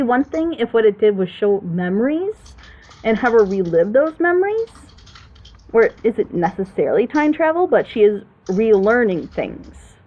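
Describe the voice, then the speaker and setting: A woman's voice: medium pitch, a little mellow, highs cut off. A woman giving casual critical commentary on storytelling as a panelist, emphatic and opinionated, explaining her points at length. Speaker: panelist on a reaction show.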